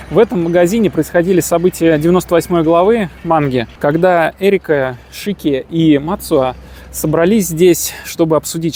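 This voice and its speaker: Guy vlogging on the street, kinda high voice, jokey and slangy, bit of traffic hum. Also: a little mellow. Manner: jokey, boastful, slangy storytelling. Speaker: travel vlogger. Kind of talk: casual vlog narration.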